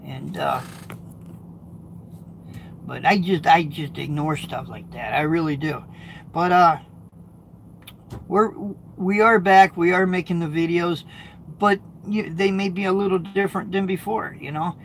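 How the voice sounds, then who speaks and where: A man's voice: measured pace, pauses often, fairly bright tone, slight background noise, poor audio. A middle-aged American man, a truck driver, chatting casually to his viewers from his truck, rambling and restarting sentences as he reads comments. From United States. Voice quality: high-pitched, gravelly. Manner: rambling, repeats words, frequent restarts. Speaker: truck driver and video host.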